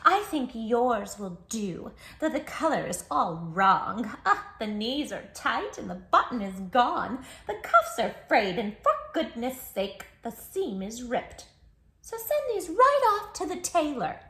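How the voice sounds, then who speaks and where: A woman's voice: on the high side, sing-song, slow pace, bright, forceful tone, echoey room, heavily acted. A woman voicing a bossy, picky llama in a children's storybook, drawling out complaints. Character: bossy, picky male llama grumbling about borrowed jeans. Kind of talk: children's storybook read-aloud.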